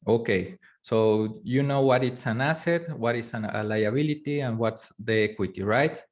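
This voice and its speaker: A man whose first language is Spanish, teaching a live online course, talking in a halting way with many fillers and restarts. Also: medium pitch. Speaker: course instructor. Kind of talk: live online class lecture.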